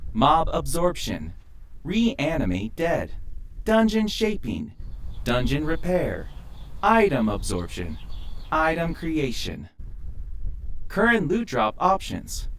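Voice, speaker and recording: A man voicing an impersonal game system in an audio drama, announcing in a formal, deliberate way. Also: on the high side, wildly swooping pitch, fairly bright tone, heavily acted. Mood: formal, listing.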